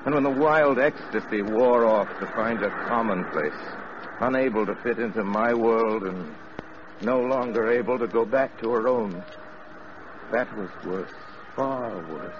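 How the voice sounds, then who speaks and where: Deep theatrical radio-drama narrator man. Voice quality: measured, theatrical, wistful narration. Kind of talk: 1940s radio acting. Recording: dull old radio broadcast with loud hiss.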